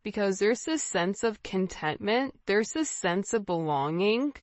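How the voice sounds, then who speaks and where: A woman's voice: mid-range voice, no room sound, dull audio. A woman giving an informal spiritual tarot reading, talking directly to the viewer in a conversational way and often checking in with the listener. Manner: conversational, often checking in with "right?" and "you know". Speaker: tarot reader, host.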